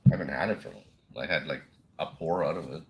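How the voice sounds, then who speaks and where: A man with a Minnesota accent hosting a casual live whiskey chat, rambling and laughing, clearing a frog from his throat. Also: very deep voice, fast talker, a little mellow, rough recording. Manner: laid-back, rambling, laughs often. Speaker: whiskey show host.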